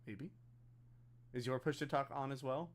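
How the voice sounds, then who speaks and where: A man with a medium-pitched voice hosts a casual live stream. He talks in a chatty, self-deprecating way over an online voice chat. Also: steady tone, moderate pace. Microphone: voice chat on a computer.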